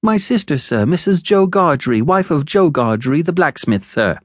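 A terrified little orphan boy from a storybook, played by a male narrator. The voice is pitched high and jumps around anxiously, meek and pleading, always politely deferential. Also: very animated, moderate pace, dry recording, clean studio sound. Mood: timid polite.

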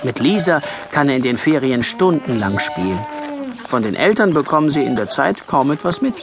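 A man narrating a scripted children's story with lively storytelling delivery. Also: mid-range voice, moderate pace, over music. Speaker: audiobook narrator.

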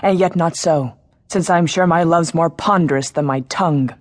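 An actress playing a sincere, troubled youngest princess, speaking husky asides in a Shakespearean audio drama. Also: female voice, deep voice, normal speed, no room sound, clean studio sound. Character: king's youngest daughter, sincere, troubled, reticent.

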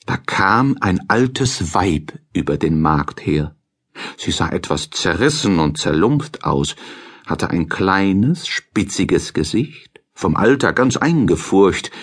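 A man reading a children's fairy tale as an audiobook narrator, in a measured, expressive storytelling manner. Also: low-pitched, husky.

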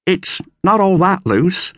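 A man's voice: high-pitched, big pitch swings, slow, dry recording, studio quality, pitched above the performer's own voice, heavily acted. A man voicing Pete, a sheepish young boy, in an audiobook. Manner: mumbling, apologetic. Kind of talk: audiobook character voice.

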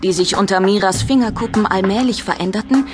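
A woman's voice: mid-range voice, fairly quick, over music. A woman reading scripted narration for a children's audiobook.

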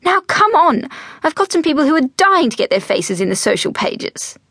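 A prim, exasperated society mother from an audiobook, acted by a woman reader. Her voice sits high and sharp as she scolds. The recording is close and dry. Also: big pitch swings, moderate pace, dry recording, exaggerated voice. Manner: high, sharp, hissing reprimands. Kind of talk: audiobook character voice.

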